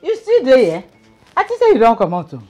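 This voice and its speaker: A woman's voice: a little high, wildly swooping pitch, a little fast, mellow, lo-fi sound, soft background music. A woman with a Nigerian accent playing a sassy, teasing, emphatic wife in a film comedy. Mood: scolding. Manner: emphatic, playful, repetitive. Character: sassy, teasing wife bossing her man. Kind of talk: film acting in Nigerian Pidgin.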